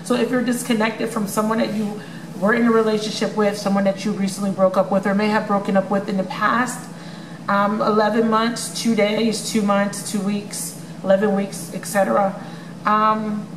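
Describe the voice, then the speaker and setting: A woman gives a rambling tarot love reading straight to her viewers, chatty and full of ums. Her voice is strained from many readings and may crack. She is recorded in an echoey room with a steady hum of noise behind her. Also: medium pitch, moderate pace. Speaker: tarot reader. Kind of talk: casual tarot reading vlog. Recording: echoey room with noisy background.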